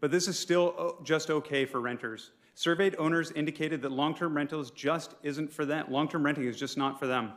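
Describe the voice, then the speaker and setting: A man's voice: on the high side, steady tone, normal speed, hardly any pauses. A man delivering a formal prepared speech as an advocate at a public council meeting, pleading his case and sometimes stumbling over words. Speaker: public speaker for a property owners' association at a council meeting.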